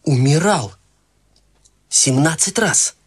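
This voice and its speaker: A man's voice: on the high side, animated, slightly rough, exaggerated voice. A young actor playing an awkward, morbid young man in a radio play, telling stories hesitantly and dryly. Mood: deadpan. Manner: hesitant, halting, dry storytelling. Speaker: Harold, lead role in radio play. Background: music.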